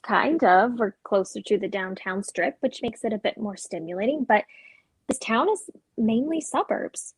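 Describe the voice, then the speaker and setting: A woman's voice: mid-range voice, animated, normal speed, breathy edge. A woman playing a weary, wry, guarded young suburban woman in a dramatic script reading, speaking in a dry, understated way. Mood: flat, resigned.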